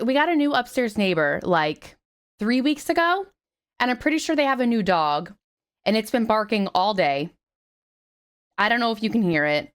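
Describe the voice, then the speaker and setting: A young woman streaming and chatting casually with viewers, her voice slightly raspy after the flu, swearing freely and greeting people brightly. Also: mid-range voice. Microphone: headset.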